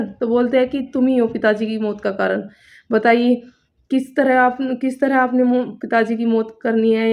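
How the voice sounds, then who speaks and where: A woman with a fairly high voice tells a story straight to her viewers. Her delivery is informal and builds suspense, with phrases repeated as she goes. The sound is dull and lo-fi. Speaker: storytelling coach and presenter. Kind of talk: spoken storytelling, explaining to viewers. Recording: dull lo-fi recording.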